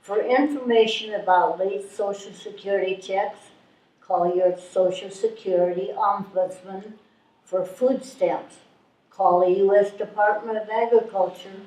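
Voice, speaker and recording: Woman as officious phone clerk, measured slow recital, distant mic, live theatre. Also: medium pitch, warm tone, reverberant, natural voice. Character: brisk official hotline clerk reciting referrals. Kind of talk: readers theatre stage performance.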